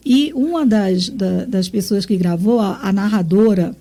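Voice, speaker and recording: A Brazilian woman with a Brazilian accent hosting a radio interview, speaking with enthusiasm and warm praise. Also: mid-range voice, unhurried. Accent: Brazilian Portuguese. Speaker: radio host.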